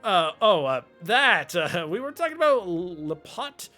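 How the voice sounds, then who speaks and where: A streamer doing a pompous, lively theatrical shopkeeper. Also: mid-range voice, measured pace, soft background music. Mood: grandiose, jovial. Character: theatrical, chatty shopkeeper, mock-polite and fond of flourishes. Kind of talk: reading video game dialogue aloud.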